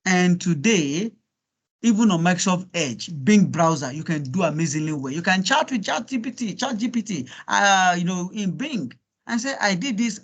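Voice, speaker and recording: High-pitched Nigerian man coaching. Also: expressive, moderate pace. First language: Yoruba. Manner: encouraging, repeats himself, rhetorical questions. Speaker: guest speaker coaching students on LinkedIn profiles. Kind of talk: informal online workshop coaching.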